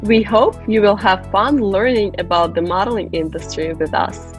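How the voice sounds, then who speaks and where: A woman's voice: medium pitch, normal speed, soft background music. A woman co-hosting a podcast, reading a friendly scripted intro. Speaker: podcast co-host, model.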